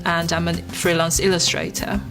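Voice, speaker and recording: A woman's voice: lowish voice, level tone, normal speed, music in the background. A woman originally from Taiwan, a freelance illustrator, talking cheerfully to camera. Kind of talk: interview to camera.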